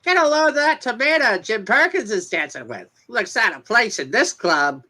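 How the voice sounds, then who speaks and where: A woman's voice: on the high side, lively intonation, normal speed, sharp tone. A woman playing a brash, nosy young country club gossip in an amateur reading of a romance comic, slangy in manner. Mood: snide.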